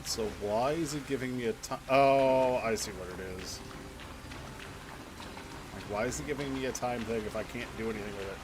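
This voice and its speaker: A man's voice: medium pitch, normal speed, background noise. A man doing casual, rambling video game commentary, half muttering to himself as he plays. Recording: game music behind the voice. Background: video game music.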